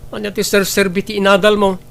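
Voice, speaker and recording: A man's voice: high-pitched, monotone, quick pace, gravelly. A man with an Ilocano Filipino accent playing an irritable, selfish young husband in an audio drama. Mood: irritated, scornful. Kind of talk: heartfelt audio drama acting.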